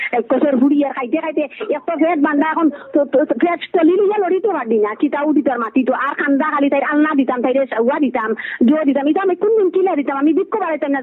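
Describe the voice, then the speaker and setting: A middle-aged Sylheti woman airs her grievances over a tinny phone line. Her voice is high and her delivery is fast, circling back over the same complaints in a heated family conversation. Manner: aggrieved, repetitive venting. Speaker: caller. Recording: tinny, phone-like call recording.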